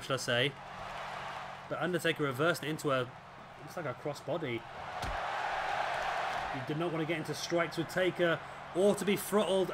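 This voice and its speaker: A man with a raspy, fading voice, commentating on a wrestling video game as the show's host. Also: fairly high pitch. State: hoarse, voice fading. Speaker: host and commentator of a gaming series. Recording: clearly noisy background.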